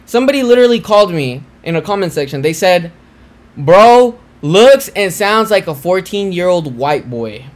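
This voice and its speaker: A young man hosts a live reaction stream from a small cluttered room. His voice sits fairly high and swings widely. He chats loosely to viewers and often trails off mid-thought. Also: sing-song, moderate pace. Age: young adult. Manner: chatty, talks to viewers, trails off and restarts.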